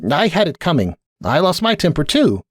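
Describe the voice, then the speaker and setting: A man's voice: fairly high pitch, huge pitch swings, normal speed, breathy edge, no echo, clean studio sound, pitched above the performer's own voice, exaggerated voice. A man voicing a guilty, apologetic male unicorn stallion in a storybook reading. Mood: sheepish. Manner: apologetic, hesitant.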